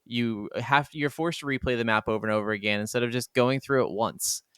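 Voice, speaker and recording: A man's voice: mid-range voice, fairly quick, fairly bright tone, clear, resonant tone, no room sound, studio quality. A man co-hosting a casual gaming podcast, talking conversationally and recounting things as he goes. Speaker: podcast co-host.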